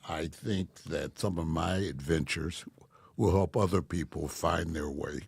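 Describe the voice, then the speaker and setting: Old guy in his 80s, low gravelly husky voice, slow and soft-spoken, kinda wry. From Delray. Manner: wry, self-mocking storyteller. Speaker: podcast guest. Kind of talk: casual storytelling interview.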